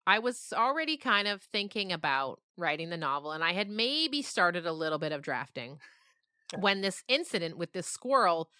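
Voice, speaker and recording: A woman being interviewed as a novelist, telling stories casually in an animated, rambling way with emphatic descriptions. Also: lowish voice, moderate pace, clean tone, no echo, studio quality.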